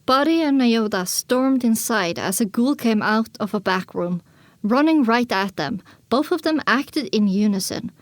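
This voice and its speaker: High-voiced woman narrating, very dry sound. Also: animated, measured pace, no echo. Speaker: audiobook narrator. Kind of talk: first-person scripted story narration.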